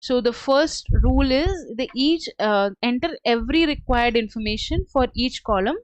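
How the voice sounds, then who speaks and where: Woman, higher-pitched voice, software trainer, hesitant step-by-step walkthrough, dull lo-fi recording. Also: no room sound. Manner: hesitant, halting delivery. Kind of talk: step-by-step screen tutorial. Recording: dull lo-fi close dry audio.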